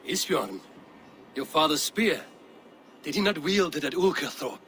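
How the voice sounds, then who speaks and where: Eivor, a calm, commanding Viking. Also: male voice, a little high, measured pace, slight background noise. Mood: steady. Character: steady male Viking warrior leader, Eivor. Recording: game audio with ambient background.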